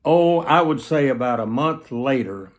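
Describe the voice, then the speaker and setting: An elderly man speaks as a preacher, telling stories in an earnest way. His voice sits at a middling male pitch. He stretches key phrases for emphasis as he addresses the camera. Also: animated, measured pace. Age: 70s. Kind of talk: sermon storytelling told to camera. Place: small plain indoor room, seated.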